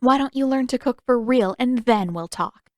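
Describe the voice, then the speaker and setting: A woman voicing a guarded, sharp-tongued teenage sister in an audiobook story, with a dry, teasing, pointed manner. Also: fairly high pitch, normal speed, no echo, voice pushed up, close to an everyday voice. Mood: smug, teasing.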